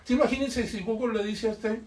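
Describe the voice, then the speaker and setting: A man's voice: extremely high pitch, steady tone, a little fast, darkish tone. A man from the audience making an emphatic, storytelling comment, full of rhetorical questions, at a bookshop event. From Colombia. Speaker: audience member. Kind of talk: spontaneous public comment. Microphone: distant room mic. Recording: echoey room, voice far from mic, faint noise.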